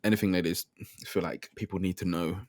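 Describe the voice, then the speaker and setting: A man's voice: low-pitched, steady tone, fairly quick, no room sound, studio quality. A man hosting a video call chat, laid-back and teasing. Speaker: host.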